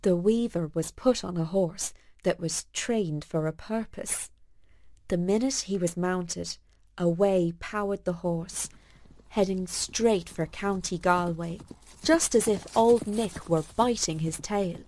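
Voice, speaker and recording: A woman narrating a bedtime story for children in a folksy, expressive storytelling manner. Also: on the low side, slow.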